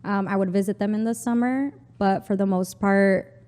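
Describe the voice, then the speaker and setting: A woman's voice: medium pitch, steady tone, moderate pace, warm tone, smooth, in a large hall. A young woman from a Texas border town, whose first language is Spanish, chatting casually as an interview guest with lots of "like" and "you know".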